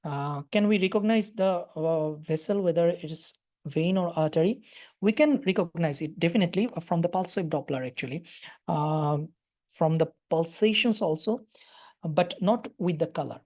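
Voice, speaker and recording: A woman's voice: fairly low pitch, moderate pace, darkish tone. A woman with a Bangladeshi accent giving a live webinar lecture and answering questions, talking with frequent hesitations and fillers. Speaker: radiology lecturer.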